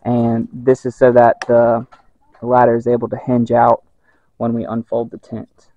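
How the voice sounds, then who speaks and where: A man calmly explaining how to mount a rooftop tent, step by step. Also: mid-range voice, unhurried, no echo, lo-fi sound. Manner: calm, methodical.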